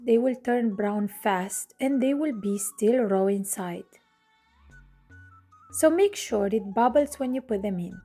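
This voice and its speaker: A woman from Romania, hosting her cooking channel and narrating a recipe in clear step-by-step instructions from her home kitchen. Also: a little high, mellow.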